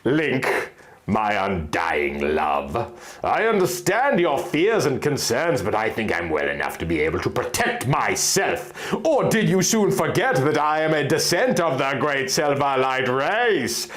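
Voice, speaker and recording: A man's voice: medium pitch, sing-song, measured pace, a bit bright. A man voicing a stubborn, devoted young heroine in a melodramatic, emphatic story reading. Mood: tender, proud.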